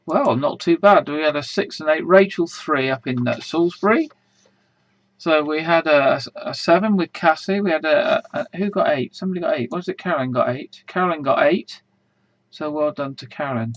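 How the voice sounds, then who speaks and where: A man's voice: on the high side, normal speed, no room sound, lo-fi sound. Chatty man hosting a quiz. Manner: chatty, rambling, many fillers and restarts. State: croaky voice. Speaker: quiz host. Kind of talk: casual live-stream quiz hosting.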